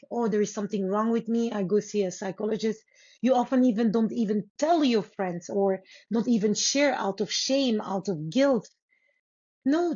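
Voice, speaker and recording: A woman from Congo whose first language is Lingala, telling her life story as a guest author in an earnest, unhurried way with pauses and restarts. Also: a little high, a little mellow. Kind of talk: reflective interview storytelling. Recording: slightly muffled audio.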